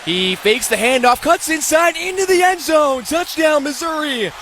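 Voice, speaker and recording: A young American man calling live football on the radio as play-by-play announcer, in punchy, clipped, excitable phrases. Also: very high-pitched, moderate pace. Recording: live stadium ambience noise.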